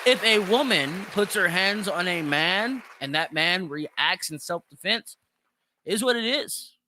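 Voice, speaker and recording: A man's voice: sits high, expressive, a little slow, bright, forceful tone, some chatter behind, slight background noise. A man hosting a solo opinion podcast, speaking emphatically and repeating phrases for effect. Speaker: podcast host.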